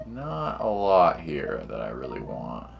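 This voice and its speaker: A man's voice: very deep voice, wildly swooping pitch, slow pace, vocal fry, highs cut off. A man giving casual gameplay commentary to livestream viewers, slangy and musing aloud to himself, with game music playing behind him. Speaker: game streamer.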